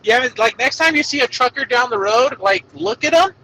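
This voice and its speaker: Shrill-voiced man on a tinny headset. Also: lively intonation, pushed and bright. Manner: crude, swears constantly, quick laughs. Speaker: podcast co-host calling in. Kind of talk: crude casual banter. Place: truck cab while working. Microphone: Bluetooth headset. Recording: tinny headset line from a truck. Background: truck noise.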